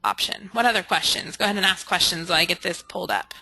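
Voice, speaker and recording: A woman's voice: lowish voice, fast, creaky, bright, forceful tone, slight background noise, poor audio. A woman leading an informal live training webinar, reading out and answering questions candidly while thinking aloud. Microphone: conference call or webinar audio.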